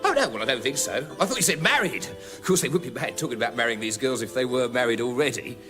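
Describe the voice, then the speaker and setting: An actor playing an oily, cheerful, chatty wedding fixer who rambles matily and repeats himself in a radio comedy. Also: male voice, medium pitch, animated, quick pace, over music, lightly acted. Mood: matey, rambling.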